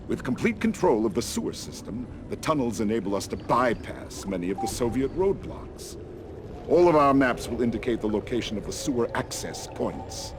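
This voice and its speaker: A male resistance member in a video game, played by an actor, with a medium-pitched voice. He explains things in a measured, matter-of-fact manner. Music is clearly audible behind him. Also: very animated, close to an everyday voice. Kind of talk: video game cutscene acting.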